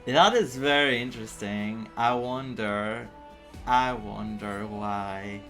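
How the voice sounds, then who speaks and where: A streamer of middling pitch comments live on a game, thinking aloud as they go. The delivery is emotionally invested and conversational, with game music playing underneath. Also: slow pace, a bit bright. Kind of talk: live gameplay reaction commentary. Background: video game music.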